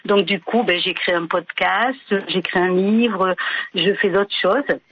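A woman tells her own story in a halting, hesitant way, full of fillers. Her voice is a little breathy. The line sounds muffled and dry. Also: mid-range voice, no room sound. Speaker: guest sharing her experience.

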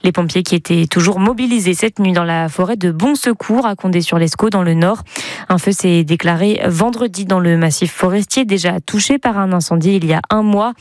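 A woman reading the morning radio news in a measured, crisp delivery. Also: on the low side, a little mellow, no room sound. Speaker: newsreader.

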